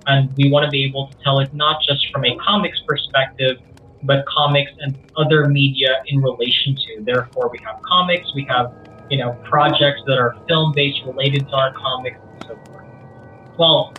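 A man in his thirties whose first language is Bisaya, speaking English in a quick, chatty way as a guest on an interview podcast. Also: mid-range voice, steady tone, phone-quality sound, a little hiss or hum. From Philippines, grew up partly in the Middle East. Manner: quick, chatty, self-correcting. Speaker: guest, visual effects artist and comics co-founder. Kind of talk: casual interview talk.

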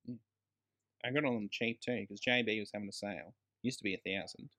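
A man's voice: on the low side, fast talker, pushed and bright. An Australian man co-hosting a casual film podcast, talking in a blokey, emphatic way with some swearing. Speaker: podcast co-host.

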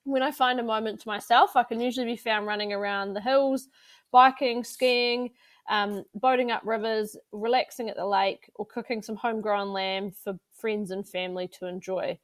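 A woman's voice: mid-range voice, steady tone, normal speed, no room sound. A woman, co-hosting a casual podcast and chatting with many fillers. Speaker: podcast co-host.

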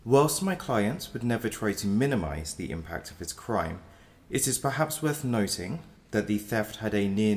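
Defence lawyer for a male actor: very low, creaky voice, measured, cautious, earnest. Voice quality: deep, gravelly. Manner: formal, measured advocacy. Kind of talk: audio drama acting.

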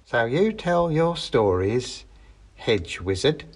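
A man's voice: medium pitch, big pitch swings, slow pace, exaggerated voice. A man voicing a greedy, sly old witch woman who talks in a folksy, threatening way. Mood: menacing.

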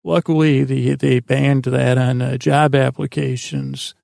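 A man with a gravelly, creaky voice hosting a bedtime podcast in a meandering, halting monologue that trails off mid-thought. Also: mid-range voice, level tone, a little mellow, no room sound, studio quality. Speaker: podcast host.

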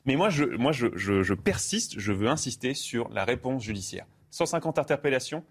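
A man's voice: medium pitch, animated, breathy edge. A man from Canada speaking as a studio debate guest, insistent as he presses his point. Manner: insistent, quick-talking. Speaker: panel guest.

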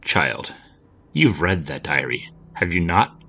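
A man's voice: low voice, very animated, takes it slowly, pushed and bright, full character voice. Man playing a cold, sinister stranger. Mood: menacing. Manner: formal, cold and demanding. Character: sinister pale man, formal and menacing.

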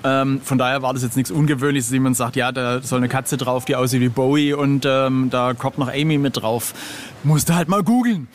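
A man's voice: mid-range voice, a little fast, slight background noise. A man speaking as an illustrator guest in a casual interview, informal, irreverent and jokey.

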